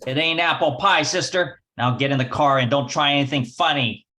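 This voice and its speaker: Scheming small-time gambler, 1940s radio play, wisecracking, bright forceful delivery, male actor. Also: a little high, a little fast. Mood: menacing. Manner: wisecracking, slangy. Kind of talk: 1940s radio detective acting.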